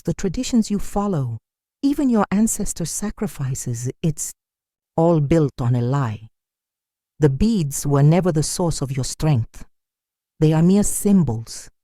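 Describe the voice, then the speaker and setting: A narrator voicing a sinister cloaked stranger in a folktale, taunting and cryptic, making grand pronouncements with eerie calm. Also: medium pitch, a little slow, dry recording, studio quality, lightly acted. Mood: scornful, menacing. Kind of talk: dramatic folktale storytelling.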